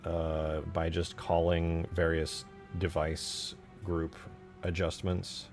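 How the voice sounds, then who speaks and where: A man's voice: sits low, monotone, slow pace, a little mellow, faint music behind. A man giving casual live commentary as he works on game mods, thinking aloud and asking himself questions in an informal way. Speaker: streamer modding a game.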